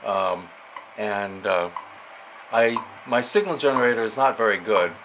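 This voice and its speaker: A man with a fairly low voice talks through a hands-on demonstration in a casual, unscripted way. He explains as he goes, thinking aloud, with clearly audible noise behind him. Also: expressive, a little slow, a bit bright, poor audio. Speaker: amateur radio hobbyist demonstrating a receiver. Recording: clear background noise, radio static. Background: shortwave radio stations and static.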